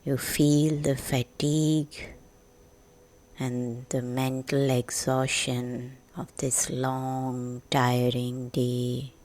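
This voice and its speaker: A woman narrating a guided sleep meditation in a gentle, hushed, soothing manner with long pauses. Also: very deep voice, flat delivery. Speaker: meditation guide, podcast host.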